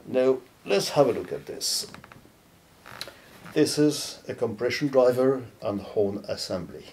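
A man in his fifties giving a measured, halting explanatory product talk to camera, pausing between phrases. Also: animated, a little mellow. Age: 50s. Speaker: loudspeaker company presenter. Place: dark indoor studio or demo room, seated. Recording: low rumbling background noise.

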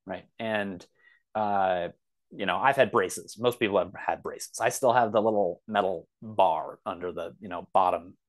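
A man in a casual discussion as a podcast guest, speaking in a halting, enthusiastic way as he thinks aloud. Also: fairly low pitch.

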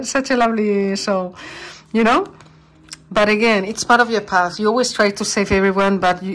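Woman giving a tarot reading. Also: medium pitch. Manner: warm, reassuring, searching for words. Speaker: tarot reader.

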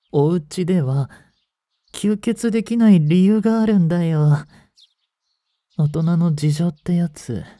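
An actor playing a soft-spoken younger vampire brother in a Japanese romance voice drama, teasing and coaxing with polite menace as he hungers for blood. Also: fairly high pitch, no room sound, studio quality.

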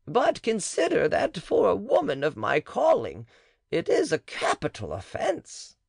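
Male narrator as cagey old midwife woman, raised gravelly pitch, dry close read. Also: wildly swooping pitch, a little slow, no room sound, heavily acted. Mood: reluctant. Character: cautious scheming older female midwife. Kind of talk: audiobook character reading.

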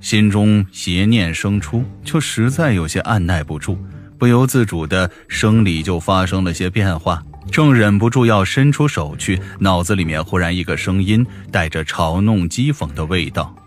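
A man narrating a fiction audiobook in an expressive, dramatic storyteller manner. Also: sits low, moderate pace, soft background music.

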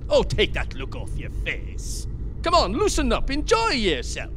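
A man's voice: high-pitched, huge pitch swings, measured pace, a bit bright, a little hiss or hum, exaggerated voice. A man acting an exasperated, sarcastic older husband at the wheel in a radio play. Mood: coaxing. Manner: sarcastic, retorting. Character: exasperated, bickering older husband driving the car. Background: faint car sounds.

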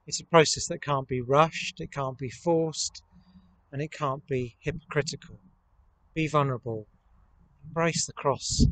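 A man in his thirties gives a sermon outdoors. His voice sits a little high for a man. He phrases things deliberately, as a vicar addressing a camera. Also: low-quality recording. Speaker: vicar leading worship. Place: outdoors in an open country churchyard.

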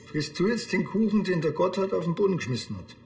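Grumbling Bavarian policeman, TV comedy, slightly high voice, echoey. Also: big pitch swings, fast talker, creaky, darkish tone, exaggerated voice. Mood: wry. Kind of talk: naturalistic TV comedy acting. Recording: echoey room, faint music.

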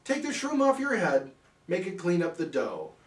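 A man's voice: high voice, huge pitch swings, moderate pace, roomy sound, recorded from a distance, pitched above the performer's own voice. A man voicing a sly, boastful, pushy cat from a rhyming storybook, with a showy singsong manner. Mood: showy, urging.

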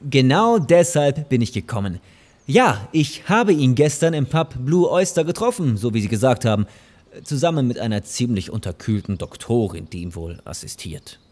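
A man's voice: mid-range voice, sing-song, normal speed, a little mellow. A courteous, evasive male lawyer acted by a man in an audio drama, with a mid-range voice. He is fussy and hesitant, doubling his phrases as he goes. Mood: courteous, flustered. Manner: polite, hesitant, repeats himself. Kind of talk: German audio drama dialogue.

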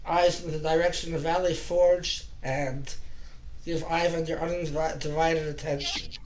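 A man in his eighties hosting a casual video call, chatty and quick to laugh. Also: a little high, little pitch movement, very noisy. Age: 80s. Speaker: host of online music club. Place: home room.

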